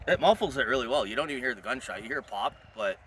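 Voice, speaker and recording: A man's voice: medium pitch, lively intonation, racing, a bit bright. A man casually chatting outdoors as he gives his impressions of gear he has tested. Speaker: product tester giving opinion. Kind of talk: casual chat, giving impressions. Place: outdoors at a shooting spot. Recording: slightly distant, faintly noisy outdoor recording.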